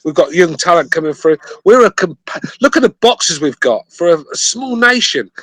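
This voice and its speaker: A man's voice: high voice, lively intonation, moderate pace, a bit bright. A man from Britain with a British accent, talking emphatically and repeating words in an argumentative sports chat. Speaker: podcast panelist.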